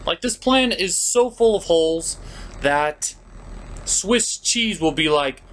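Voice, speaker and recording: A man's voice: high voice, expressive, slow, a bit bright. A man hosting a comedic scripted cartoon review in a theatrical, sarcastic and exasperated manner. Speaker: host of an online puppet review show.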